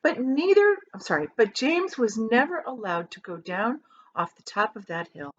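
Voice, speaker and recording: A woman reading a children's storybook aloud as a teacher would, with dramatic emphasis and drawn-out key words. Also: a little high, sing-song, muffled recording, a little hiss or hum.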